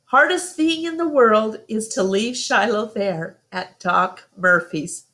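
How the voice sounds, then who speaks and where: A woman reading a children's novel aloud in a measured, deliberate storytelling style. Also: a little high, pushed and bright.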